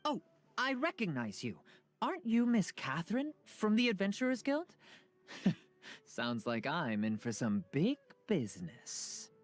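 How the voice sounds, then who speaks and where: A woman's voice: on the low side, measured pace. A woman voicing a friendly, eager pottery merchant in a video game, with a personable manner. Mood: eager, welcoming. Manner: animated, personable. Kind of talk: video game character acting.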